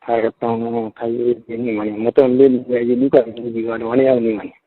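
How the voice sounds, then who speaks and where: A man's voice: mid-range voice, little pitch movement, fast talker, a little hiss or hum. A man with a Marwari accent chatting casually in a private phone call, recorded over a narrow, tinny phone line. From Rajasthan, India.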